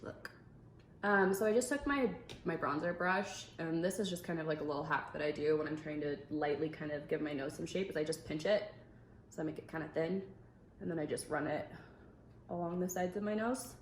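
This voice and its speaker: A woman's voice: low-pitched, fairly quick. A young adult woman chatting in an upbeat way as she explains her makeup steps. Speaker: makeup vlogger. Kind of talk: casual makeup tutorial chat. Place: indoor room at a makeup table. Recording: echoey room with faint noise.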